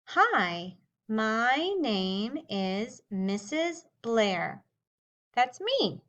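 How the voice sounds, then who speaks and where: Slow, lively-voiced woman teacher. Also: fairly high pitch, dull audio. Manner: slow, clearly spoken teaching voice with drawn-out words. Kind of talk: slow English lesson for young learners.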